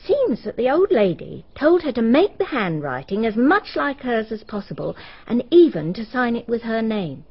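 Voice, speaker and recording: An actress playing a chatty, gossipy village woman in a BBC radio drama, telling stories in a brisk, knowing manner. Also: fairly high pitch, sing-song, husky, heavily acted. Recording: dull archive radio recording.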